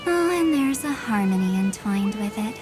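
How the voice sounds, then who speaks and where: An actress playing a cool, condescending woman antagonist in a video game, speaking in a measured, teasing way. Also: mid-range voice, expressive, reverberant, lightly acted. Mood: amused, probing. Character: cool, taunting woman antagonist with quiet confidence. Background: music.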